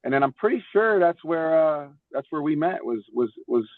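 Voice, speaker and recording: A man's voice: fairly high pitch, expressive, moderate pace, dry recording. Phone-like audio, casual young man. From Florida, United States. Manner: informal, repeats words, bro and dude. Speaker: podcast guest, former baseball player. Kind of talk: casual chat, storytelling. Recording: tinny, phone-like call audio.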